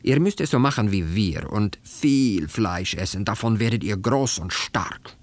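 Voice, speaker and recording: Man as slow, self-important tortellini. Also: lowish voice, expressive. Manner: slow, weighty.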